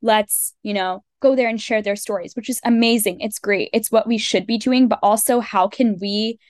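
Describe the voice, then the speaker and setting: A young woman hosts a student podcast, asking her questions in an earnest, measured way. Her voice sits in the middle range for a woman. The sound is dry and close, with no room around it. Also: mid-range voice, fairly even intonation, dry recording. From American South, immigrant. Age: young adult. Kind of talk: podcast interview.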